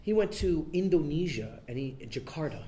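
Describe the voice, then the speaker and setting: A man being interviewed, talking in a relaxed way with many fillers and restarts. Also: on the high side, normal speed, a little hiss or hum. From United States. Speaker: interview guest, son of a famous bandleader. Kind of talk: casual interview.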